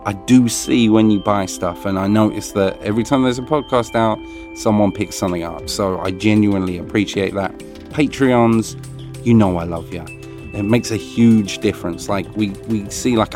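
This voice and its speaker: A man with a crumpled, papery voice, closing his podcast in a casual, rambling, self-deprecating way. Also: lowish voice. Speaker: podcast host.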